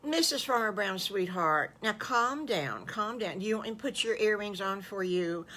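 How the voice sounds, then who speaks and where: Storybook farmer, calm and kind, as a grandma tells it; unhurried, bright tone. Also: female voice, medium pitch. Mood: gentle. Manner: soothing, slow and patient. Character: calm, gentle old farmer husband.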